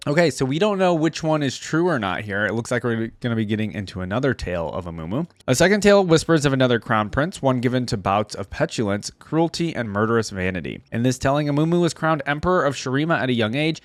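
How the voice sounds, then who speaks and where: A man's voice: medium pitch, no echo. A man with a mid-range voice hosts a reaction video. He speaks informally to his viewers, moving between casual commentary and reading text aloud. The sound is dry, with hardly any room to it. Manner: informal, chatty, addresses viewers directly.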